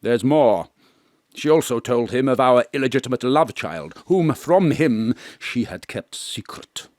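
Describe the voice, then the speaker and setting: An actor playing a pompous, melodramatic veteran male stage actor who swings between booming declamation and hushed confession, recorded from a home studio. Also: lively intonation, measured pace, dry recording, lightly acted. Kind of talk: live remote audio drama acting.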